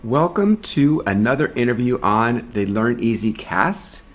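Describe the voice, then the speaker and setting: A man's voice: medium pitch, animated, unhurried. A man co-hosting a video podcast, talking in an earnest, reflective way and reading a guest introduction. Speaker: podcast co-host.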